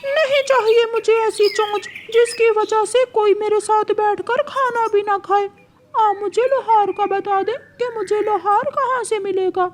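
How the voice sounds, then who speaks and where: Woman voicing a very high-pitched sulky cartoon parrot. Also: steady tone, unhurried, a little hiss or hum. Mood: sulky, hurt. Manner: whiny, pleading. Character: hurt, sulky young male parrot. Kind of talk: Hindi children's cartoon dialogue.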